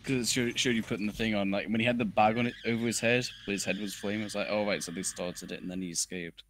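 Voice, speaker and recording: A man's voice: on the low side, steady tone, fast, a bit bright, slight background noise. A man giving casual, conversational reaction commentary while watching video game cutscenes.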